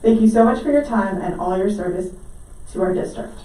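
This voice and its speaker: A woman reads prepared remarks aloud at a school board meeting, steady and formal. Her voice is mellow and dark. It sounds far from the mic and rings heavily around the room. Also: mid-range voice, fairly quick. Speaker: parent speaking at public comment. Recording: very echoey room, picked up from afar.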